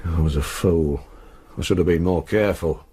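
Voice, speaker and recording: An actor in a radio drama playing an older family friend, protective and smitten, husky and earnestly coaxing. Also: male voice, deep voice, moderate pace, warm tone. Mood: bitter regret. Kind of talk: naturalistic radio drama acting.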